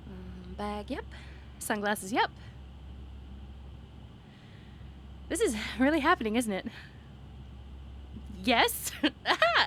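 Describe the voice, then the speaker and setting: A woman playing an excitable, playful young woman on a date, in a conversational, halting roleplay style with teasing, gushing delivery. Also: high voice, a little hiss or hum, exaggerated voice. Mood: nervous excitement. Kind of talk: ASMR roleplay acting, one side of a dialogue.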